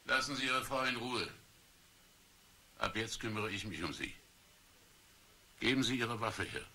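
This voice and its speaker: A stern policeman from an old radio drama, firm and commanding. His voice is low and strikingly bright. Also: lively intonation, measured pace, husky, exaggerated voice. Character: stern male police officer Kelly. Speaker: police officer. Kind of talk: radio play acting.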